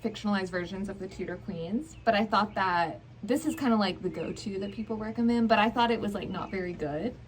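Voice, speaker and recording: A woman's voice: mid-range voice, brisk, few pauses, low-quality recording. A young woman in casual book-vlog chat, speaking in an informal, hedging, conversational way. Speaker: book vlogger. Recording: clear background noise.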